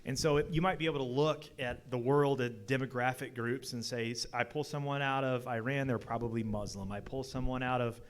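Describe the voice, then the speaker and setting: Male panelist, average pitch, earnest off-the-cuff debating. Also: fairly even intonation, a little fast, hardly any pauses. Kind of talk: unscripted debate answer.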